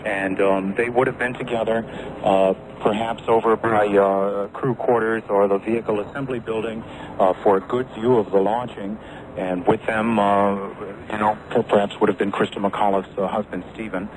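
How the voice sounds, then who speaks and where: Man, correspondent, low voice, halting, noisy radio recording. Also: fairly even intonation. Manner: halting, repeating words. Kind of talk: live breaking news commentary.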